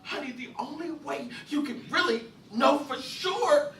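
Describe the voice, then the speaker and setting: A man voicing a distraught mother who pleads and apologizes in a high, breathy, often hushed voice. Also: measured pace, full character voice. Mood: begging. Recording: roomy, distant mic, faint hiss.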